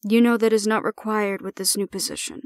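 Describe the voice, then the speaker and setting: A woman's voice: mid-range voice, a little fast, no room sound, natural voice. A woman plays a composed female official in an audio drama, close and dry. She speaks formally and diplomatically, measuring out each phrase as someone in charge of difficult charges. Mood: composed, reassuring. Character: calm, formal female supervisor handing over a hybrid prisoner. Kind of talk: audio roleplay acting.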